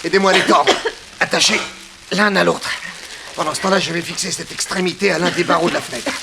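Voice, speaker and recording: Male lead: brave detective, high-pitched urgent commands, old radio serial, very noisy tape. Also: steady tone, fast, vocal fry. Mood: urgent, determined. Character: daring detective hero, urgent, brave, gentle with victims. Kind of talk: 1960s radio serial acting.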